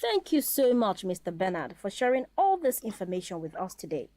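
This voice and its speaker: A woman's voice: medium pitch, big pitch swings, a little fast, warm tone, no echo. A woman hosting a scripted promotional interview in a polite, formal way.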